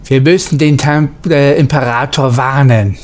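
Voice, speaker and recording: A man's voice: medium pitch, steady tone, moderate pace. A man plays through a sci-fi game in character as a starship captain. His voice sits in a middle register as he speaks the captain's replies and orders. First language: German. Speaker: gamer role-playing a starship captain. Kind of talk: in-character game role-play narration.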